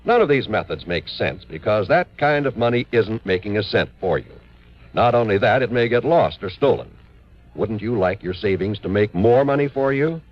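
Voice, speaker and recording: A muffled old radio recording carries an American male announcer. His intonation is animated and his delivery is a polished, folksy sales pitch in 1950s style. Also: normal speed. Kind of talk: 1950s radio advertisement read.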